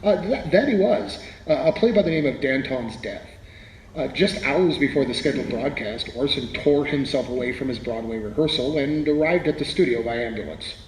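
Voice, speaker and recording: A man's voice: medium pitch, expressive, normal speed, lightly acted. A man playing a wry, literary playwright reminiscing as an interview guest in a radio drama, with hesitant starts. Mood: wry, modest. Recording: echoey hall, faint background noise.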